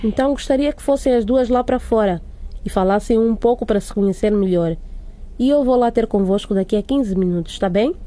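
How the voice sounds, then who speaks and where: A woman's voice: fairly high pitch, a little fast, mellow, close to an everyday voice. A woman playing a strict but fair school headmistress in a radio drama, formal and authoritative. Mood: businesslike.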